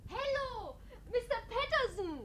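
A woman's voice: extremely high pitch, very animated, measured pace, fairly bright tone, a little hiss or hum, full character voice. An actress in a German radio play voicing a flirtatious, restless married society lady with an effusive, coquettish manner. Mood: bright, coquettish.

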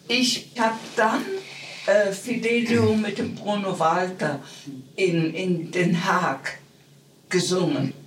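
Woman, nineties, unhurried dramatic storyteller; roomy, slightly noisy interview recording. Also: lowish voice. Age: 90s. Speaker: retired opera singer. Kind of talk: anecdotal reminiscing in an interview. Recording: roomy, distant, noisy archive interview tape.

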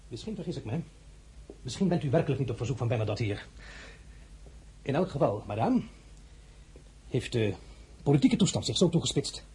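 A bossy sarcastic emperor in a radio play, dark mellow tone but really husky, curt and formal. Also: male voice, fairly high pitch, full character voice. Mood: suspicious, stern. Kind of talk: Dutch radio drama dialogue.

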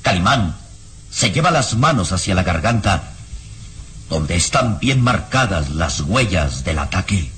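A man's voice: low-pitched, big pitch swings, a little slow, fairly bright tone, slight background noise. A man narrating a vintage radio drama, describing scenes dramatically in the present tense.

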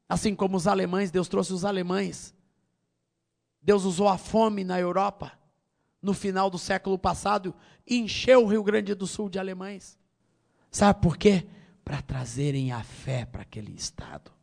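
Middle-aged Brazilian male pastor, Brazilian Portuguese accent, fairly high gravelly voice, fervent sermon delivery. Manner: preaching with big swings from loud high exhortation to quiet low tones.

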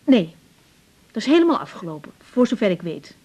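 A woman's voice: medium pitch, very animated, a little fast, mellow, breathy edge. An actress playing a busy, reassuring young woman doctor in a naturalistic radio drama. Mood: brisk, matter-of-fact. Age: young adult. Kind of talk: naturalistic 1980s Dutch radio drama acting. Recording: slightly dull 1980s radio recording.

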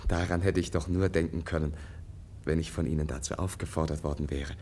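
A very low-pitched young gentleman, a naive Russian prince from a radio play. He is earnest and candid, choosing polite, formal words and now and then hesitating. The delivery is mannered 1950s German stage-radio speech. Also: fairly even intonation, fairly quick, slight background noise. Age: young adult. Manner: formal, courteous, hesitant in places.

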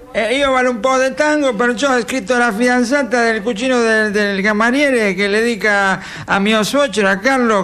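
A man's voice: very high voice, fairly bright tone, slight background noise. A man playing a rambling, hesitant traveller about to leave, in a radio play.

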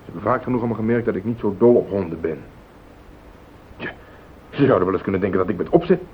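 Actor as rattled, evasive husband, low voice. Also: male voice, lively intonation, fast talker, natural voice. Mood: agitated, insistent. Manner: agitated, pleading, self-justifying. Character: rattled, evasive husband hiding a car accident. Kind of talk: Dutch radio play acting. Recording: muffled phone-like old radio recording, rumbling hiss.

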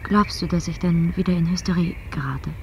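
A woman playing a fragile, hesitant young woman in a science-fiction radio play, softly spoken. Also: on the low side, a little fast, natural voice. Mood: uneasy. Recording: slightly noisy 1970s radio recording.

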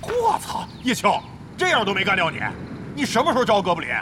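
An actor playing a crude, cocky older veteran gamer in an audio drama, brash, taunting and long-winded. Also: male voice, high-pitched, sing-song, quick pace, background noise. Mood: mocking, lewd. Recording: long reverb, music behind.

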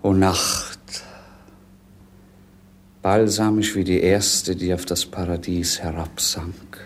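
An actor playing a dreamy, world-weary young prince, declaiming poetically and languidly in 1950s radio drama. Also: male voice, sits low, fairly even intonation, slow, slight background noise. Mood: rapt.

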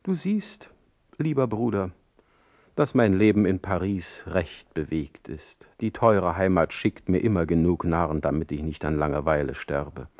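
An actor playing a sardonic, proud exiled poet in a radio play, speaking in eloquent, ironic, deliberately phrased monologue. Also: male voice, deep voice, expressive, darkish tone, dry recording. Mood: wry.

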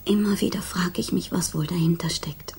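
An actress playing a grief-stricken, loyal young fiancée, soft, breathy and subdued, in a 1960s German radio crime drama. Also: female voice, on the low side, fairly even intonation, normal speed, a little hiss or hum. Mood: grieving, earnest. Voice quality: soft, breathy, often near whisper. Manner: hesitant, subdued.

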